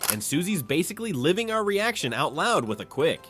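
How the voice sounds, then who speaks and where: A man's voice: fairly high pitch, sing-song, brisk, fairly bright tone, faint music behind. A man narrating a countdown commentary video with a wry, casual, jokey manner.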